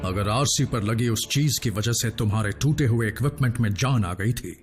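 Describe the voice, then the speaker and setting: An actor playing a calm, authoritative heroic robot leader in a cartoon dub, measured and commanding. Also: male voice, mid-range voice, faint music behind, close to an everyday voice. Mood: grave. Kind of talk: dubbed cartoon hero acting.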